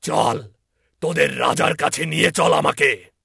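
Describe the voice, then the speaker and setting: A man puts on a cryptic old holy man from a cartoon fairy tale. He draws his words out very slowly in a foreboding manner. The recording is dry and close. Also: mid-range voice, vocal fry, fairly bright tone, slightly rough, no room sound, full character voice. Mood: grave, commanding.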